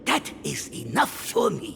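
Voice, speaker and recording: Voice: medium pitch, wildly swooping pitch, measured pace, creaky, fairly bright tone, big-room sound, a little hiss or hum, heavily acted. An actor voicing an eccentric, boastful little gang boss creature in a video game, chatty and theatrical, chuckling and wheedling. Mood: showy, sly. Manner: theatrical, chatty, punctuated with 'eh' and 'huh'.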